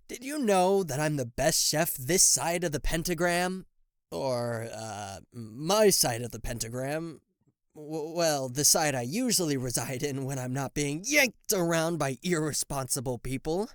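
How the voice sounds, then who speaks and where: A man performs a sarcastic, cocky devil for a roleplay audio. His pitch swings widely as he teases and blusters theatrically, tripping into stammers. The recording is dry, with no room sound. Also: expressive, unhurried, no echo. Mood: teasing, indignant. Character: cocky, sarcastic male devil, a fallen angel, secretly fond. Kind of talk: roleplay audio acting addressed to the listener.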